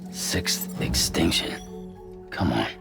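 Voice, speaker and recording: A man playing a gruff, sceptical video game hero who speaks in terse, blunt phrases with a gravelly voice. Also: slow, close to an everyday voice. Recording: music behind the voice.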